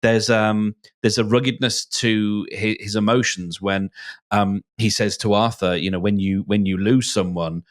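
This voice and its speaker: Low-voiced man talking haltingly. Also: steady tone. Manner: hesitant, searching for words with repeated false starts. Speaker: film podcast host. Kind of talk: casual discussion.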